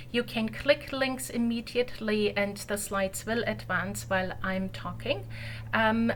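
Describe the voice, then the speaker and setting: A woman's voice: on the high side, fairly bright tone, a little hiss or hum. A woman from Germany with a German accent, giving an online conference presentation over a video call in a friendly, explanatory way with frequent fillers.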